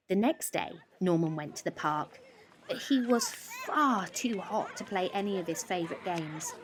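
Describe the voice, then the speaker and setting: Animated, unhurried woman reading a bedtime story. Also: mid-range voice. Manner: animated, deliberate, with drawn-out emphasis and dramatic pauses. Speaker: storyteller reading a children's picture book. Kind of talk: expressive bedtime story narration.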